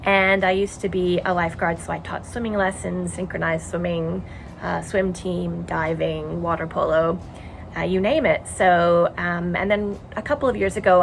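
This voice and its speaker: A woman in her forties from Montreal with a Canadian accent, hosting a casual knitting podcast from home and rambling warmly with many ums and uhs. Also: mid-range voice, creaky, slight background noise, poor audio. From Montreal, Quebec, Canada. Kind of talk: casual chat. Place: home in a tiny house.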